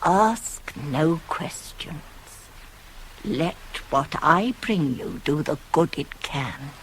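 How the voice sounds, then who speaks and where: Older nurse and confidante, radio tragedy. Pragmatic, insinuating, very wide swoops of pitch, hissy old cassette sound. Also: female voice, expressive, very slow, full character voice, poor audio. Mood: hushed, scheming. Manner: rhetorical, emphatic, insinuating, dropping to hushed tones. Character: older female nurse, shrewd, pragmatic, persuasive confidante of a queen. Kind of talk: 1980s radio play acting of Greek tragedy.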